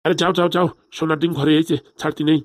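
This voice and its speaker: Man doing cartoon village dad, older, easygoing banter, talks super fast, very dry close sound. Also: fairly high pitch, level tone, dry recording, close to an everyday voice. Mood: eager. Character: older village father, easygoing, eager to marry off daughter. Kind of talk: fast comic cartoon dialogue.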